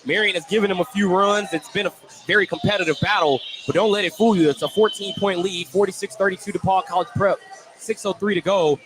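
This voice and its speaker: An American man doing live play-by-play basketball commentary for radio, getting excited on big plays. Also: high voice, fast talker. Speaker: play-by-play sports announcer. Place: indoor basketball gym. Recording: noisy live gym broadcast. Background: loud gym ambience.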